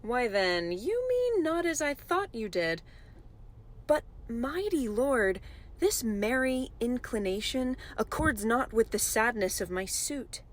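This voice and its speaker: A woman's voice: a little high, big pitch swings, slow pace. An actress playing a proud, wary widowed mother petitioning a king, polite but firm in theatrical Shakespearean dialogue. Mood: guarded refusal.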